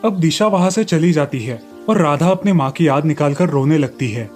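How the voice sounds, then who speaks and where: A man's voice: fairly high pitch, expressive, normal speed, darkish tone, faint music behind. A man storyteller reading scripted narration for a children's moral tale.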